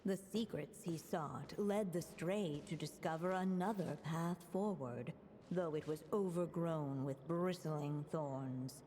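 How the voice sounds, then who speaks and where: A solemn fairy-tale narrator, lowish in pitch and very measured. Her slow, literary storybook delivery rings with long reverb over game music. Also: mellow, slight background noise.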